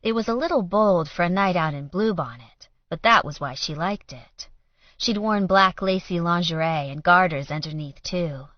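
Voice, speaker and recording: A woman's voice: on the low side, moderate pace, dry recording, dull audio. A woman reading scripted fiction as an audiobook narrator.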